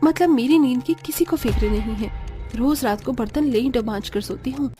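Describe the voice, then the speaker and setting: A woman's voice: fairly high pitch, moderate pace, dark tone, faint music behind, close to an everyday voice. A woman playing a patient but exasperated young daughter-in-law in a Hindi cartoon, earnest and chiding. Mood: weary, scheming. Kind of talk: Hindi cartoon story acting.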